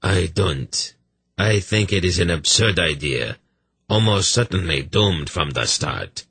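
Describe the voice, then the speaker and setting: A man reading an audiobook voices a big, loyal older advisor who speaks in a calm, blunt way. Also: low voice, takes it slowly, vocal fry, slightly rough, no echo, full character voice.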